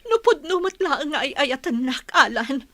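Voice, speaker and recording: A woman's voice: high-pitched, big pitch swings, moderate pace, bright, forceful tone, no room sound, exaggerated voice. A woman with an Ilocano accent playing a pleading young daughter in love in a radio drama. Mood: distressed, pleading.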